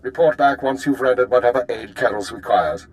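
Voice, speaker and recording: A man's voice: medium pitch, fairly quick, lightly acted. A man playing a stern, formal intelligence superior in a video game, briefing with clipped authority. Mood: stern, grave.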